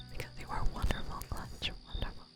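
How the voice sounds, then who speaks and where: A woman live streamer whispering softly to her viewers, playful and giggly, rambling with many fillers, close to the microphone. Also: measured pace. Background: music playing.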